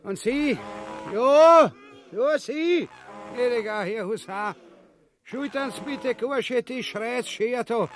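A man's voice: extremely high pitch, takes it slowly, faint music behind. An actor playing a vulgar, cowardly, bombastic king in a grotesque comedy, blustering and whining with an Austrian accent. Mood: bossy complaining. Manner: blustering, whining, swooping between mutters and bellows. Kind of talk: grotesque comic radio-play acting.

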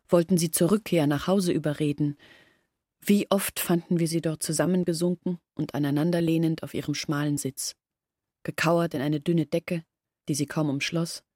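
An actress playing a grieving young village woman who tells of her family's downfall in an earnest, measured radio drama narration. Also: deep voice, dark tone, no room sound, clean studio sound.

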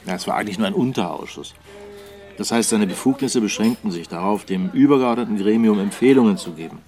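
An actor playing a wry, well-informed journalist friend in a German radio drama, conversational and musing. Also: male voice, on the low side, animated, fast, darkish tone, soft background music, close to an everyday voice.

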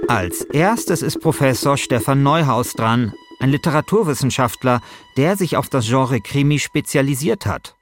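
A man's voice: medium pitch, animated, moderate pace, faint music behind. A man hosting a podcast, moving between casual chat and scripted narration. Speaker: podcast host.

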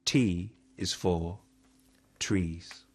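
A man reciting scripted verse in a florid, deliberate, declamatory way, as in a theatrical spoken-word piece. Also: sits low, husky, dry recording. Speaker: performer. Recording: loud music over the voice. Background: loud music.

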